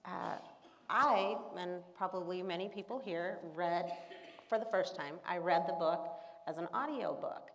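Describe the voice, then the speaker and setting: A woman interviewing an author onstage, asking measured, thoughtful questions. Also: lowish voice, highs cut off. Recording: long reverb in a hall with an audience.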